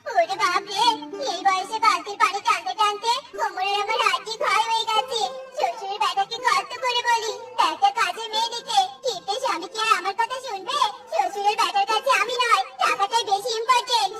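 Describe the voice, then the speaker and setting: An actor voicing an old cartoon wife who grumbles about her husband and turns coquettish at flattery. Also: extremely high pitch, brisk, far from the mic, over loud music. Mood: weary, complaining. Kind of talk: cartoon voice acting.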